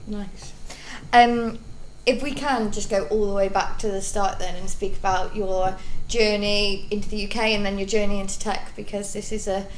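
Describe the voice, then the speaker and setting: A woman's voice: medium pitch, little pitch movement, normal speed, few pauses, reverberant, a little hiss or hum, rough recording. A woman in her thirties interviewing a guest in a friendly, chatty way. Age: 30s. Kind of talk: casual interview.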